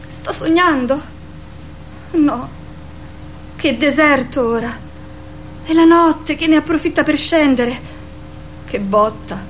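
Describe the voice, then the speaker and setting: Radio play role: wide-eyed, excitable figure, very high pitch, drawn-out pace, faint noise. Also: female voice, heavily acted. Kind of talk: theatrical radio serial acting.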